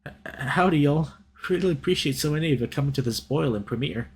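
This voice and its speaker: A man's voice: a little high, a little fast, background noise, pitched above the performer's own voice, natural voice. A man voicing a nervous, earnest young otter filmmaker in a visual novel, with a hesitant, folksy manner. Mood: nervous, announcing.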